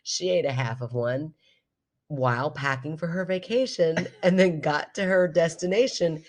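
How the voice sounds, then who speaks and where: A woman's voice: deep voice, normal speed. A woman co-hosting a podcast in casual comedic chat, giggly, exclamatory and given to swearing. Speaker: podcast co-host.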